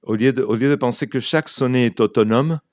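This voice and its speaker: A man's voice: medium pitch, fast, a little mellow, dry recording, studio quality. A man from Argentina, a native Spanish speaker with an Argentine accent, chatting in an interview and often searching for words. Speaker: writer and translator, interview guest. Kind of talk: conversational interview, telling anecdotes.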